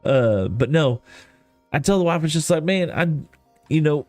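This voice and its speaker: A man's voice: on the high side, lively intonation, moderate pace, no echo. A man from East Texas with a Texan accent, chatting casually and rambling in a folksy, self-deprecating way while livestreaming a game. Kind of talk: casual gaming stream chat. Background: soft lofi music.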